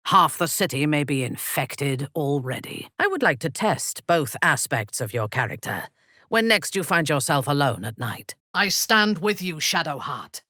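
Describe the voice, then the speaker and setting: A woman playing a cruel, haughty dark elf warlord in a fantasy video game, speaking formally and deliberately. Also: deep voice, very animated, dry recording, studio quality, exaggerated voice. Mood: calculating. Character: cruel, imperious female dark elf warlord, cold and devout. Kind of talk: dramatic fantasy game voice acting.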